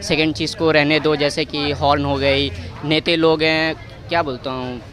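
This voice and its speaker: A man's voice: on the high side, level tone, normal speed, fairly bright tone. A young man with a Bihari accent in a street interview, hesitantly groping for words and mixing in English. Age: young adult. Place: outdoor street. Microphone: handheld reporter microphone.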